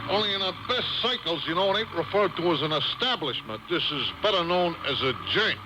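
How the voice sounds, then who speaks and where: An actor playing a talkative, streetwise cab driver in a 1950s radio drama, mixing fancy words with slang. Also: male voice, high voice, expressive, a little fast. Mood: genial, nosy. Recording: dull, hissy old radio tape.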